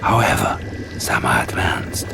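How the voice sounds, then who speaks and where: An actor playing a sinister, calculating Soviet psychic mastermind, insinuating and quietly menacing, in a video game cutscene. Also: male voice, very deep voice, big pitch swings, vocal fry, whispered, heavily acted. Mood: sinister, hushed. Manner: slow, hushed, insinuating.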